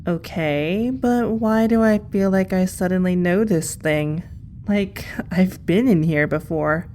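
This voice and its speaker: A woman's voice: fairly low pitch, slow pace, warm tone, a little hiss or hum. Woman as a wary, sarcastic teenage heroine. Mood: curious, puzzled. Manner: casual, dry, questioning. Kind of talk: audio drama acting.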